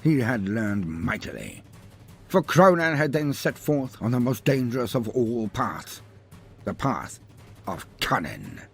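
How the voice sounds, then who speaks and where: A man's voice: medium pitch, wildly swooping pitch, unhurried, gravelly, breathy edge, soft background music. A man narrating a humorous scripted story in a theatrical, wordy, mock-grandiose manner. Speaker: narrator of a lore story video.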